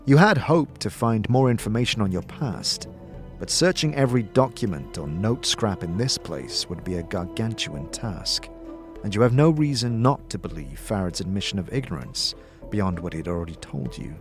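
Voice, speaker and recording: A man narrates a fantasy tale like a game master guiding a player. His voice sits a little low and moves with animated, expressive pitch. Soft music plays underneath. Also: moderate pace. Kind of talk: scripted second-person fantasy audio drama narration.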